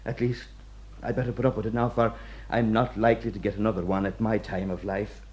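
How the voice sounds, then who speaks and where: Mellow aging Irish prison warder, played by a man. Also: on the low side, flat delivery, brisk, close to an everyday voice. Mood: gently wry. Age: middle-aged. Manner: deferential, homely, ruminative. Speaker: actor. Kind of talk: radio play dialogue. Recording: dull, muffled radio recording.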